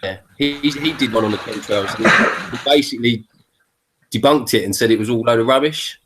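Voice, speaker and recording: A man's voice: mid-range voice, a little fast, heavy background noise. Earnest middle-aged man on a call. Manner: earnest, repeats words as he thinks. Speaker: host of an online hangout. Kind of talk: casual group chat.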